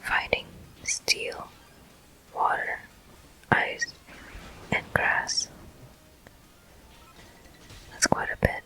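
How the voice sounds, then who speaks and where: A person whispering ASMR game commentary in a deliberate manner, pausing between short phrases. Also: bright, forceful tone, low-quality recording. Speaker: gameplay host.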